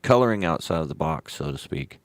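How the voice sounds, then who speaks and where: A man's voice: a bass voice, animated, a little fast, no echo. A man co-hosting a radio show, putting thoughtful questions to a guest. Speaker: radio co-host. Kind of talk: casual radio interview. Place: radio studio.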